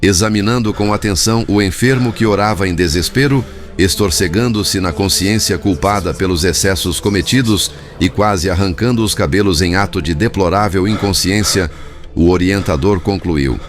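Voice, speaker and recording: Male audiobook narrator, low-pitched and measured, formal scripted reading with light music underneath.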